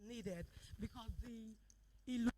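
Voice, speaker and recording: A woman gives an event presentation in a fairly high voice. She speaks slowly, with frequent pauses and self-corrections. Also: warm tone, poor audio. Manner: halting, with frequent pauses and restarts. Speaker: company representative presenting.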